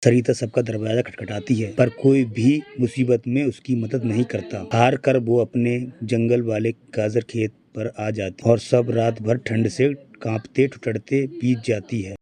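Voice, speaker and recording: A man's voice: medium pitch, steady tone, measured pace, hardly any pauses, mellow. A man reading scripted narration for a cartoon moral story.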